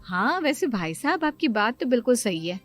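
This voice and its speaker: Scheming sister-in-law in a family moral tale, played by a woman: fairly high voice, persuasive, pushy about her son. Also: sing-song, moderate pace, faint music behind. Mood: coaxing, insistent. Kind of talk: dramatised Hindi moral story acting.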